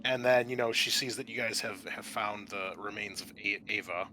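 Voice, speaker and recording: A man running a tabletop roleplaying game as game master, narrating informally and haltingly as he improvises the scene. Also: fairly low pitch, flat delivery, sharp tone, a little hiss or hum.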